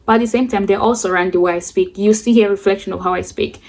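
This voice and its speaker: A woman's voice: mid-range voice, fairly even intonation, rapid-fire, darkish tone, slight background noise. A teenage girl chatting in a rambling, casual way while doing her makeup for a get-ready-with-me vlog. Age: late teens. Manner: rambling, chatty, self-described yapping. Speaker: beauty vlogger.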